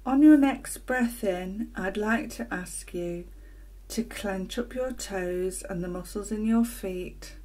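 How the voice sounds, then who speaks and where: Female meditation teacher, gentle and breathy-soft, very unhurried, deliberate phrasing for guided relaxation. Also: medium pitch. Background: ocean waves sound loop.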